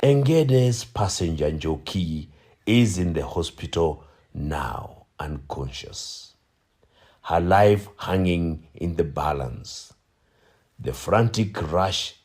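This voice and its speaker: A man's voice: sits low, expressive, slow, darkish tone, slightly rough, heavily acted. A man playing a grave narrator, solemn and theatrical, delivering scripted radio drama narration. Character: grave, dramatic male narrator of a road tragedy.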